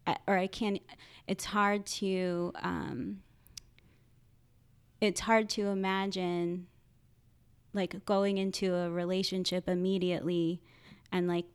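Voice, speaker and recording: A woman who is a social worker, talking casually in a podcast interview, halting often and laughing. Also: smooth. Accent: Philadelphia. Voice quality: high-pitched by her own account. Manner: halting, frequent restarts and laughs.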